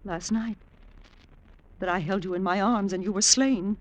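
An actress playing an anxious, devoted Roman wife, dramatic and pleading, in classic radio drama style. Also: female voice, mid-range voice, moderate pace, natural voice, rough recording. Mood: fearful.

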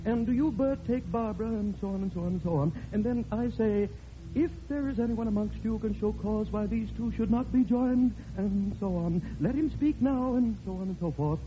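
An actor playing a fussy, rambling wedding officiant in a classic radio comedy, dithering and repeating himself. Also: male voice, sits high, a little fast, background noise, natural voice. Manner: repetitive, dithering. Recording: dull old radio recording, music behind.

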